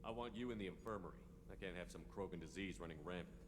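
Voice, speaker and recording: Guy playing stern space commander in a game, lowish voice, talks fast n direct, noisy behind. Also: lightly acted. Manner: authoritative, direct. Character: stern, forceful human male military starship commander. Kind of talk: video game character acting. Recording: noisy background under game dialogue.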